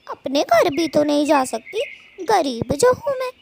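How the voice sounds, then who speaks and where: A woman's voice: high-pitched, very animated, unhurried, creaky, darkish tone, a little hiss or hum, pitched above the performer's own voice, heavily acted. A woman voicing a bossy, gossiping female cartoon bird with a scolding, dramatic, chatty delivery. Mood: aggrieved, scolding. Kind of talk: children's moral-story cartoon dubbing.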